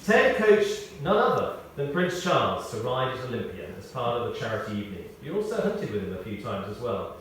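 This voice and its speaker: A British man reads a memorial tribute in a large, heavily reverberant space, picked up some way from the microphone. His delivery is measured storytelling with animated rises and falls, pausing and leaning on key words. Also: medium pitch. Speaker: eulogist reading a tribute. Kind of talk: scripted memorial tribute with humorous anecdotes. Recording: very echoey, distant mic, faint noise.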